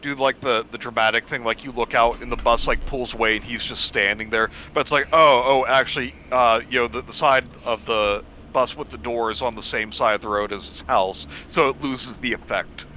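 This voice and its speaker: Bright-voiced man on a muffled mic. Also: medium pitch, fairly even intonation, fairly quick, few pauses, a little hiss or hum. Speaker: podcast co-host. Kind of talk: casual comedic banter.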